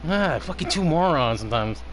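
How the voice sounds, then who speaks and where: A man's voice: a little high, expressive, brisk, a crowd in the background, rough recording. A man giving casual, rambling live gameplay commentary, swearing and prone to giggling. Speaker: game streamer.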